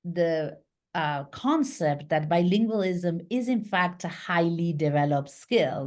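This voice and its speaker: A middle-aged woman from Argentina speaks as a guest over a webcam call from a small home office. Her voice sits low, and she talks in an animated way, gesturing as she goes. She often restarts her sentences and fills pauses with "um" and "uh.". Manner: lively, gestures with her hands, many fillers and restarts. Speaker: guest, business founder. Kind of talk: casual interview on a video call. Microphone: video call webcam.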